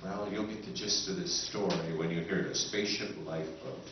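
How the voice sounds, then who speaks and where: A man introducing a live radio recreation at a convention in a relaxed, chatty way, recorded in an echoey hall from far off. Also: sits low, fairly quick, muffled recording, noisy background. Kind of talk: casual stage introduction.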